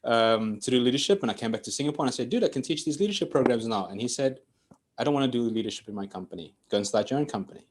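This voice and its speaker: A man's voice: mid-range voice, lively intonation, rapid-fire. A man in his forties from Greece, a guest on a podcast, telling his story in a chatty way and laughing often. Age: 40s. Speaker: podcast guest, coach and trainer. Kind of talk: casual storytelling interview.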